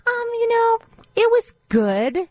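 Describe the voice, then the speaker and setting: A woman's voice: very high-pitched, big pitch swings, slow pace, dry recording. A middle-aged American woman co-hosting a radio show in casual, conversational talk. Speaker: radio co-host. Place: radio studio.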